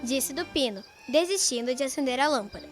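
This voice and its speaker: A young Brazilian girl narrates a radio story from a script. Her voice is high, and music plays clearly behind her. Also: animated, a little fast. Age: child, about twelve.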